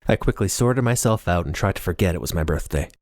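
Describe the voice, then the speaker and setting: A man's voice: deep voice, animated, quick pace, no room sound, clean studio sound. A man reading a first-person novel as scripted audiobook narration.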